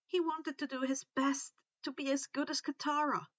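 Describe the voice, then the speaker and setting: A woman narrating a story in an expressive, animated audiobook style, stressing casual asides. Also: high voice, moderate pace, no room sound, dull audio. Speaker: reader of fan fiction for online listeners.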